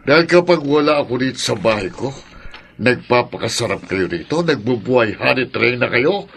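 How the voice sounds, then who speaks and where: A man's voice: medium pitch, expressive, moderate pace, creaky, fairly bright tone, slightly rough, full character voice. A man playing a harsh, irate older householder in a radio drama, scolding and threatening. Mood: enraged. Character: irate, harsh old male householder and employer.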